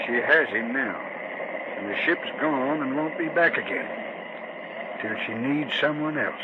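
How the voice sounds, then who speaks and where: A man's voice: medium pitch, unhurried, close to an everyday voice. An actor playing an urgent, grim, emphatic man in an old-time radio suspense drama. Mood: grim, resigned. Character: urgent, grim man warning of a ghost ship. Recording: dull, very noisy old radio recording.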